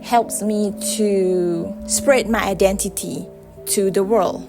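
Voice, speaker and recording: Woman, Malaysian accent, native Malay speaker, casual vlog monologue, blunt and profane, middle pitch, music clearly under the voice. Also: fairly even intonation, slow pace. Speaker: vlogger. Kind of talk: casual direct-to-camera monologue.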